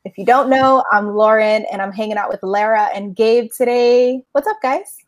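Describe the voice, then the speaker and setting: A woman hosting a casual podcast interview, upbeat and quick to laugh. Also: fairly high pitch. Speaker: podcast host.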